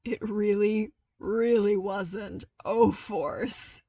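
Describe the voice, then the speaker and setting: A woman's voice: mid-range voice, steady tone, very slow, dark tone, dry recording, far from the mic. A woman voicing a blunt, wry young male trainee, grudgingly playful, in an audio story. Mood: breathless amusement. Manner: blunt, dry, grumbling.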